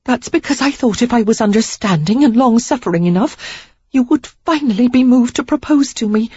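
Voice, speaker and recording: Indignant young woman on the verge of tears, read by a woman, voice pitched up, a touch husky. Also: animated, fairly quick, dark tone, no echo, muffled recording. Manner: pleading, emphatic, near tears. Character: young woman, frustrated and resolute suitor.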